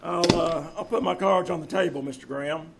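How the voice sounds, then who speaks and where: An actor playing a polite, methodical police inspector in an old-time radio mystery, husky and formal. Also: male voice, on the high side, heavy background noise. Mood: confidently accusing. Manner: measured, formal. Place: live on a theater stage.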